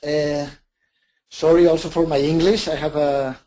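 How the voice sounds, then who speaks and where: A man's voice: on the high side, level tone, unhurried, mellow. Hesitant Spanish-accented man presenting. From Spain. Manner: hesitant, many restarts and self-corrections. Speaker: webinar presenter. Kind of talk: live webinar presentation.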